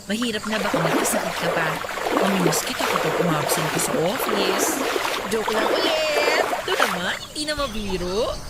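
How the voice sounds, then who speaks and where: An actress playing a playful, teasing married office coworker in a radio drama, coy and bantering. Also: female voice, mid-range voice, animated, normal speed, lightly acted. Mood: teasing, flirty. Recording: loud music bed behind dialogue.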